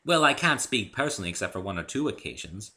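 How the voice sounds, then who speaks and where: A man's voice: on the low side, big pitch swings, fast talker, pushed and bright. A man voicing a refined, genteel, good-natured female unicorn in a dramatic story reading, with an elegant, theatrical, affectionate manner. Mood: gracious.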